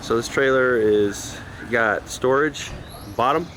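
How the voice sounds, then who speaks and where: A man's voice: medium pitch, slow pace, noisy background. A man leading a motorcycle tour, narrating his travel video in an easygoing, joking way, recorded outdoors. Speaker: tour leader filming the trip.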